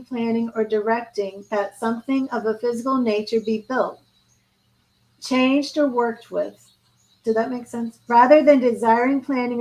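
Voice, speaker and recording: Female tarot reader, hoarse and fading voice, fairly high, mixes read-aloud card text with loose chat. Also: darkish tone, low-quality recording. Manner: reads card meanings aloud, then chats and restarts. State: hoarse, losing her voice. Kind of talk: live tarot reading, partly read aloud.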